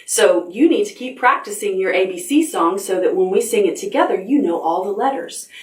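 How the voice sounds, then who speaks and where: A woman's voice: medium pitch, animated, fairly quick, a little mellow, roomy sound, far from the mic. A woman librarian leading preschool storytime, sing-song and encouraging as she talks to small children. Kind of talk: preschool storytime with songs and reading.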